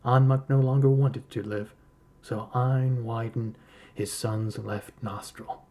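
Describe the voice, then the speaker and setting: A man reading a literary story aloud as a narrator, in a measured, solemn storytelling manner. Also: mid-range voice, steady tone, warm tone. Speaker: podcast host reading a short story aloud.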